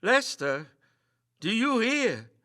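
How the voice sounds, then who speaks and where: A man's voice: very high-pitched, sing-song, takes it slowly, bright, forceful tone, no room sound, clean studio sound, pitched above the performer's own voice, full character voice. A man voicing an anxious, frightened man in a haunted house, urgent and strident, in a dramatic ghost story reading. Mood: scared bluster. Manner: strident, urgent, stammering when scared.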